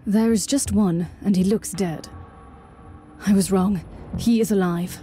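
A woman's voice: medium pitch, steady tone, unhurried, dark tone, close to an everyday voice. An actress playing a zealous, stern female warrior inquisitor in a video game, speaking in a solemn, commanding way. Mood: grave, focused. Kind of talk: scripted video game acting. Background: game music.

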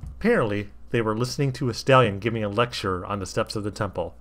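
A man's voice: fairly low pitch, fast, fairly bright tone. A man reading a comic story aloud with wry, mock-earnest delivery. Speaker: fan fiction reader.

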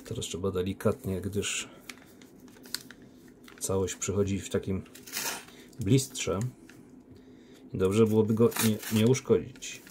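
A man unboxing silver coins, talking casually on a phone recording with a relaxed, chatty manner. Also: sits low, slow pace, long pauses, background noise. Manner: relaxed, chatty, with many diminutives and fillers. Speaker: coin collector unboxing silver. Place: indoor room by a window. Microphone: phone camera.

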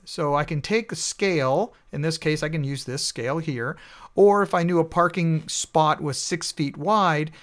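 A man with a fairly high voice narrates over slides. He explains in a relaxed, conversational way, peppering his talk with "you know". It sounds like a recorded voice-over. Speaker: network engineer reviewing a tool. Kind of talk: screen-recorded slide walkthrough.

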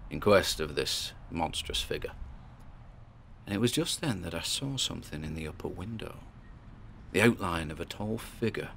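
A young British paranormal investigator with a fairly low voice. He talks like a podcaster in a horror audio story, informal and wry, with an uneasy, hesitant edge to his storytelling. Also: vocal fry, fairly bright tone, slight background noise. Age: 20s. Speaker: narrator and performer. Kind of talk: horror audio fiction in the form of a podcast monologue.

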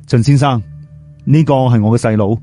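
A man with a Cantonese accent voicing a courteous, composed male jade expert in an audio story, polite and measured. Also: medium pitch, warm tone, faint music behind, lightly acted. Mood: calm, polite.

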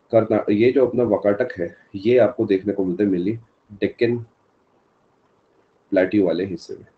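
Hoarse deep-voiced man teaching live. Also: phone-quality sound. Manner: answers live chat by name, mixes English terms. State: sore throat, unwell. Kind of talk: live online exam-prep lecture.

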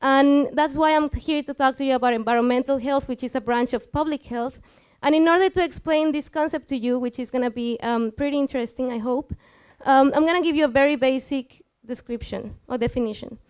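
High, clear-voiced young Mexican woman presenting. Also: fairly quick, few pauses, a little mellow. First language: Spanish. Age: 20s. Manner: informal, engaging the audience with questions and checking tags. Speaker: student speaker giving a public science talk. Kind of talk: live presentation to an audience, explanatory. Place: small lecture room with seated audience. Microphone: headset.

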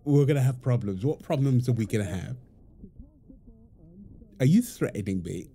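A man's voice: mid-range voice, lively intonation, normal speed, warm tone, slight background noise. A man playing a playful, teasing young husband and doting father in an intimate, affectionate domestic ASMR roleplay. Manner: teasing, affectionate, chuckling banter. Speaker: roleplay audio performer.

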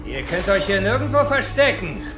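Echoing, high-pitched determined huntsman. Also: big pitch swings, normal speed. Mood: commanding. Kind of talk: German audio drama acting. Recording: roomy echo on the voice. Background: music.

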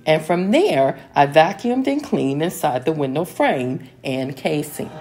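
A middle-aged woman giving a calm, step-by-step voice-over of her home cleaning routine. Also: low-pitched, unhurried. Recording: voice-over with soft music behind.

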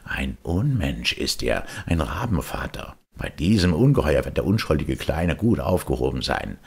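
Meddling street gossip for a storybook, done by a male reader: gravelly, animated. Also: very deep voice, moderate pace, heavily acted. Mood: spiteful mocking.

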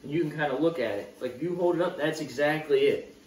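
A man's voice: fairly high pitch, fast talker. Young man, casual cooking teacher, encouraging, echoey room, slightly distant mic. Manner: encouraging, steady coaching with frequent "yep, perfect". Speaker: pizza maker teaching dough stretching. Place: home kitchen, indoors. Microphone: camera mic from across the counter. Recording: echoey kitchen, voice a bit far off, faint room noise.

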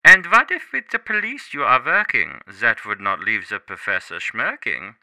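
Suspicious henchman, rhyming lines, wildly swinging pitch, crisp voice, radio drama. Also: big pitch swings, moderate pace, sharp tone, no echo, full character voice. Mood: wary. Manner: speaks in singsong rhymes.

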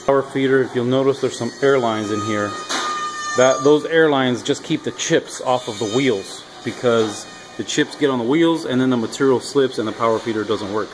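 A middle-aged man with a mid-pitched voice walks viewers through a machine in a casual, halting way. He talks in short, practical phrases as he demonstrates. The handheld recording is made in a large workshop with clear noise behind him. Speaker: workshop machine builder and video host. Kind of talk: explanatory walkthrough of a machine. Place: large indoor metal workshop. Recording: clear shop noise on handheld camera audio.

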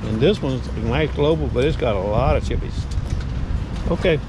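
A man's voice: medium pitch, moderate pace. Rambling man vlogging outdoors, noisy. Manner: rambling, self-correcting, folksy. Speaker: knife sharpener vlogging at a market. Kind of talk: casual vlog commentary. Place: outdoor farmers market parking lot. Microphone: body-worn action camera. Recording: loud outdoor street noise.

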